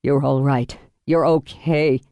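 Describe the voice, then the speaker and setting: A woman's voice: very deep voice, steady tone, takes it slowly, no echo, dull audio, voice pushed down, heavily acted. A woman voicing a steadfast, protective policeman in an audiobook thriller, firm and clipped under pressure. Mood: shaken relief. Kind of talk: audiobook thriller character acting.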